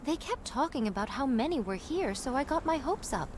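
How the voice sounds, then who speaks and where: Very high female voice, unruffled warrior woman, archaic formal diction, anime game. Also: expressive, brisk, background noise. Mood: wry.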